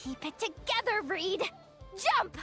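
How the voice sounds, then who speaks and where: Very high-pitched, excitable young game heroine. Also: female voice, slow pace, soft background music, heavily acted. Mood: urgent, rallying. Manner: exclamatory, theatrical. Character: excitable young female monster-battle contestant, earnest and dramatic. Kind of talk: anime-style video game voice acting.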